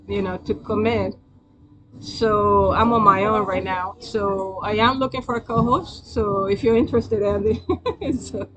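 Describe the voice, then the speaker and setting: A woman's voice: mid-range voice, rough recording. A woman with a Spanish accent hosting a live stream, chatting loosely to viewers in a rambling, hesitant way. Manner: rambling, hesitant, many fillers and restarts. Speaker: livestream host.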